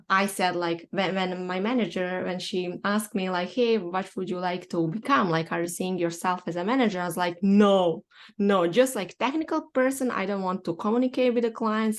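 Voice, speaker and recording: Brief: young woman host, Bosnian accent, slightly low voice, halting and filler-heavy. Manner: halting, many fillers and restarts. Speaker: podcast host. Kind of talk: casual online chat.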